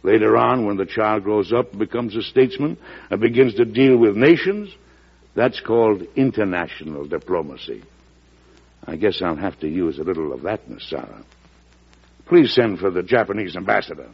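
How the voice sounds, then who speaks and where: An actor with an American accent playing a shrewd, genial, avuncular president in an old-time radio drama, in a deliberate storytelling manner. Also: male voice, fairly low pitch, expressive, husky. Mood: amused. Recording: dull lo-fi vintage radio broadcast.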